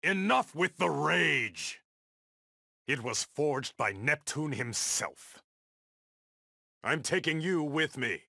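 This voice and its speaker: A man's voice: on the high side, lively intonation, slow, creaky, pauses often, pushed and bright, dry recording, clean studio sound, heavily acted. An actor playing a proud, stern undersea warrior king in a video game, with a regal, defiant, declamatory delivery. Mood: commanding, angry. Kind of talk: video game battle dialogue.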